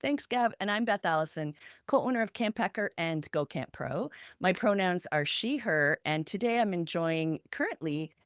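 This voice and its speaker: A woman podcast host with a slightly low voice and animated intonation. She presents prepared points with deliberate emphasis, item by item. The recording is dry, with little room sound. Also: dry recording. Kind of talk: podcast talk from prepared notes.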